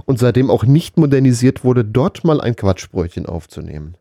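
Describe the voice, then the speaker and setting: Mellow-voiced male podcast co-host. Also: mid-range voice, very animated, moderate pace, vocal fry, dry recording, studio quality. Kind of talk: casual chat.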